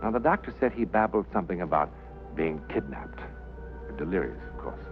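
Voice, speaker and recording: An actor playing a grave narrator, speaking in deliberate, questioning phrases in a 1950s mystery style. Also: male voice, sits low, lively intonation, phone-quality sound, over music. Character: grave male narrator puzzling over an unsolved mystery. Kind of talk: dramatic 1950s mystery monologue. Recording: thin old film soundtrack.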